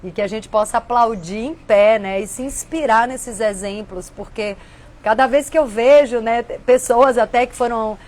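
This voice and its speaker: A woman, hosting a casual reflective talk and answering viewer questions in a warm, earnest way. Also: on the high side, normal speed. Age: 50s. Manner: warm, earnest, conversational with frequent "né".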